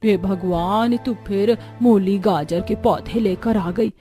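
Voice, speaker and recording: A woman's voice: medium pitch, slow, mellow, faint music behind, natural voice. A woman voicing an exasperated village mother in a cartoon. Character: exasperated village mother scolding her daughter.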